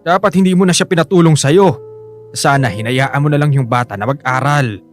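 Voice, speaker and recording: A man's voice: fairly high pitch, brisk, natural voice. An actor playing a poor, easily rattled husband and father who frets about money, plain-spoken and grumbling, in a radio drama. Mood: reproachful. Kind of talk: Filipino radio drama acting. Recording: music bed under the voice.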